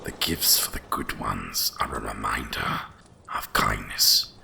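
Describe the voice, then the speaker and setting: A man voicing an ancient, wise dragon guardian of winter in a slow, solemn, gravelly whisper for an ASMR roleplay. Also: exaggerated voice. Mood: solemn, gentle. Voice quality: deep gravelly breathy whisper. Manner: slow, solemn, deliberate delivery.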